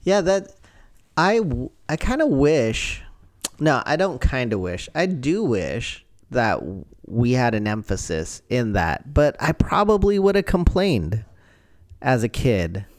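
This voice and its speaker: Smooth-voiced reflective man, dry sound. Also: medium pitch, very animated, slow, no room sound. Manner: reflective, advice-giving. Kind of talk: casual conversation. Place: home.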